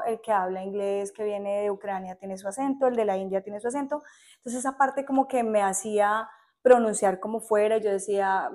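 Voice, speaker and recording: A young Colombian woman in casual conversation, with a Colombian accent. She tells her stories in a lively, chatty way and laughs often. Her voice sits at a middle pitch. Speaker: guest teacher. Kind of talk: casual podcast interview.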